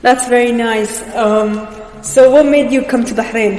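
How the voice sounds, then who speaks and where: A woman's voice: fairly high pitch, steady tone, a little slow, a little mellow, faint music behind. A young woman asking questions as the interviewer in a casual interview. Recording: echoey reverberant room with background noise.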